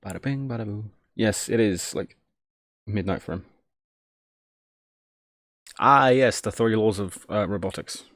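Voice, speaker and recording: A man's voice: lowish voice, a little slow, pauses often, a bit bright, no echo. A man casually thinking aloud on a live tech stream, jokey and self-deprecating, muttering each step as he works.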